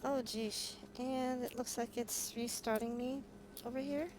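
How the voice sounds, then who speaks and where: A woman chats casually to viewers while streaming a game. Her voice sits on the high side and she takes her time, hesitating as she goes. A faint noisy hum runs behind her. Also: steady tone, measured pace. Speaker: livestreamer, vtuber. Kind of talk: casual livestream chat while gaming. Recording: clearly noisy, humming background.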